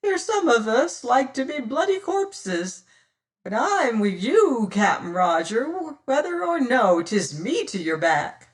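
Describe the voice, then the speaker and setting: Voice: mid-range voice, very animated, slow pace, voice pushed up. A reader voicing a great fat male pirate who pipes and wheedles. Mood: wheedling. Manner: piping, wheedling.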